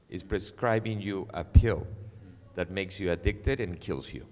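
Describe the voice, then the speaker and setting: Animated man in a big hall. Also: low voice. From Peru. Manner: animated, joking, mimics others' voices. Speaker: cinematographer, guest speaker. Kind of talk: festival talk, storytelling. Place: large auditorium, indoors. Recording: long reverb of a large hall.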